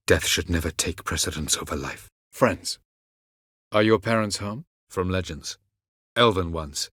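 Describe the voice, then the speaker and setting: An actor playing an ancient, aloof elven sage in a video game, speaking in a measured, formal and condescending way. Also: male voice, on the low side, a bit bright, dry recording, studio quality. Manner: measured, formal, haughty delivery. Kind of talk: scripted video game dialogue.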